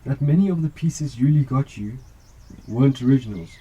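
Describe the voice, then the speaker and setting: A dutiful, caring police officer, played by a man in an amateur radio play. The voice is very dark and relaxed, slightly breathy, at an average male pitch. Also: a little fast, natural voice, poor audio. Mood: reassuring, serious. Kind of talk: amateur radio drama acting.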